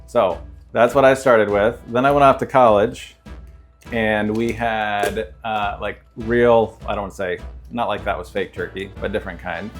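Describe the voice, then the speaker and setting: An American man from Ohio speaks in a fairly low voice as a cooking show co-host. His talk is casual and runs into storytelling. Soft music sits in the background. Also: fairly even intonation, moderate pace. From Ohio, United States.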